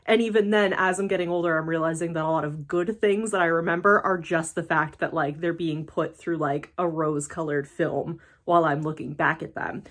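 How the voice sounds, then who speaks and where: A woman's voice: low voice, fairly even intonation, brisk, hardly any pauses. A woman about to turn thirty, from the United States, chatting in a rambling casual vlog with lots of fillers and self-deprecating laughs. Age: late 20s. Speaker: YouTuber.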